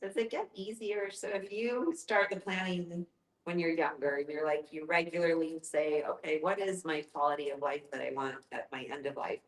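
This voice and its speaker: Lower-voiced woman moderating, echoey room. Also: steady tone, normal speed. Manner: informal, conversational. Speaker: discussion moderator. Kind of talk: casual group discussion.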